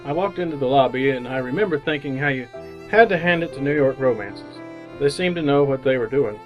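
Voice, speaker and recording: An actor playing an awkward, lonely city bachelor in an old-time radio drama, halting and bemused as he talks and narrates. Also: mid-range voice, lively intonation, highs cut off, soft background music, natural voice. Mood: wistful narration.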